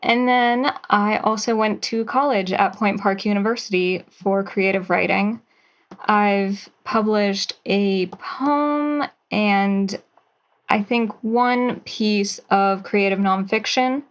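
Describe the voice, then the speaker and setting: A young woman in her twenties, a writer, answering slowly and thoughtfully in an interview. Her voice comes through somewhat dull and muffled, like a remote call. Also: medium pitch. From Pennsylvania, USA. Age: 20s. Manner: measured and thoughtful, with some hesitation fillers. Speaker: writer and guest.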